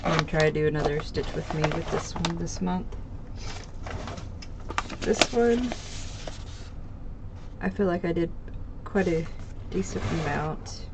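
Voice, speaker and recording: A woman's voice: lowish voice, fairly even intonation, a little slow, long pauses, heavy background noise. A woman with a stuffy, slightly nasal voice, rambling casually through her crafting projects at home, with many ums and restarts. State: congested, a bit nasal. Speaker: craft channel host. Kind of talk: casual chat showing stitching projects. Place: home craft table, indoors.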